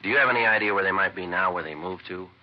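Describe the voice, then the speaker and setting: An actor playing a clipped, methodical police detective in an old radio crime drama, asking flat, businesslike questions. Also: male voice, deep voice, very fast, lightly acted. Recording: muffled vintage radio broadcast with faint hiss.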